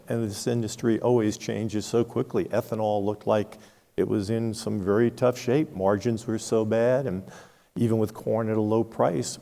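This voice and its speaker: A man's voice: lowish voice, vocal fry, warm tone. A man speaking frankly on a conference panel, explaining with examples, recorded in a reverberant hall. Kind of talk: unscripted panel discussion.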